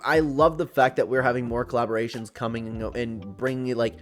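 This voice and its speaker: A man's voice: mid-range voice, fairly even intonation, fairly quick, faint music behind. A young man giving casual, chatty YouTube-style gaming commentary, talking informally to his viewers. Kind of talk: casual commentary reacting to read-out viewer posts.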